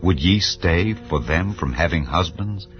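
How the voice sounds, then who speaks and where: A man reading the lines of Naomi, a grieving aged widow, in slow, solemn biblical speech. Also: deep voice, animated. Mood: lamenting. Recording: slightly dull, soft music behind.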